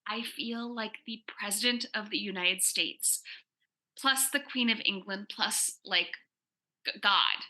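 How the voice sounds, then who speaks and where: A woman reading the part of an excitable, nerdy teenage girl in a comic reading, gushing and self-deprecating. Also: mid-range voice, takes it slowly. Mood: excited, gushing. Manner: gushing, chatty teenage exaggeration. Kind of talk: dramatic comic reading, first-person teen narration.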